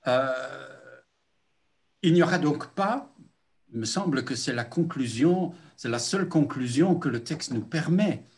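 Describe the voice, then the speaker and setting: A man's voice: mid-range voice, lively intonation, creaky. An older man preaching a sermon as a pastor, earnest and reflective, with frequent hesitations. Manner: slow, deliberate, with frequent hesitations.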